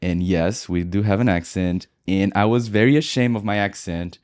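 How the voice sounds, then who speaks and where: A soft-spoken, shy man from Brazil who speaks English with a Brazilian Portuguese accent, talking in a measured way as a podcast co-host. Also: low-pitched, clear, resonant tone. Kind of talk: casual conversation.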